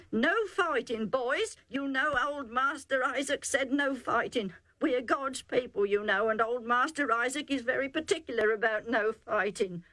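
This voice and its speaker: A woman voicing a rough, excitable male herdsman who pleads urgently in rustic dialect. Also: fairly high pitch, no echo, pitched above the performer's own voice, rough recording.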